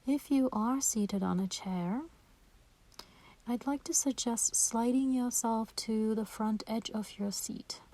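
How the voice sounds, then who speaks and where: A woman guides a meditation in a soft and breathy voice. Her tone is warm, relaxed and dark, with nothing sharp in it. She gives gentle, soothing instructions and leaves space between phrases. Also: medium pitch. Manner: gentle, slow and soothing, with pauses.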